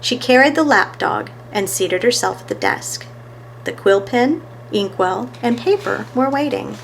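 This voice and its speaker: A woman's voice: a little high, animated, measured pace, gravelly, slight background noise, rough recording. A woman reading scripted narration of a children's story as a storyteller.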